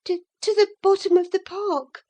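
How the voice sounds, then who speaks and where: A woman's voice: sits high, a little slow, dark tone, pitched above the performer's own voice. A woman reading aloud and voicing an impulsive teenage girl who pleads breathlessly and earnestly. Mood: frightened.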